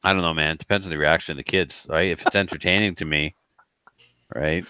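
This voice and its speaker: A man with a deep, smooth voice chats casually as a podcast co-host. He jokes and rambles, letting sentences trail off. The sound is dry, with hardly any room to it. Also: fairly even intonation, fairly quick, bright, forceful tone, no echo. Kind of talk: casual banter.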